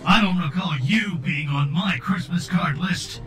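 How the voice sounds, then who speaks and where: A man's voice: a little high, measured pace. A man as a distant-sounding armored knight hero. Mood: defiant. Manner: sarcastic, taunting. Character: defiant, wisecracking armored cyber knight hero. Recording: distant voice under loud music.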